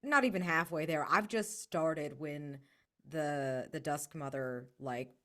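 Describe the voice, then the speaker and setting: A woman with a notably low voice plays a tabletop roleplaying game. She talks through her character's moves casually, in halting phrases with a wry edge. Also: pushed and bright.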